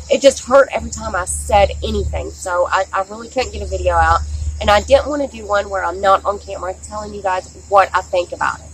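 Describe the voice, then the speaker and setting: A woman's voice: mid-range voice, a bit bright. A woman, chatty and enthusiastic, reviewing makeup in her backyard, her voice a little scratchy from a sore throat. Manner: chatty, enthusiastic, says "y'all" and "guys" often. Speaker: beauty vlogger. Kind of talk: casual product review. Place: outdoors in a backyard. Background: crickets and insects, barking dogs.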